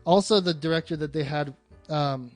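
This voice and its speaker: A man's voice: fairly high pitch, moderate pace, no room sound, soft background music. A man chatting casually about film as a podcast co-host, blunt and freely swearing.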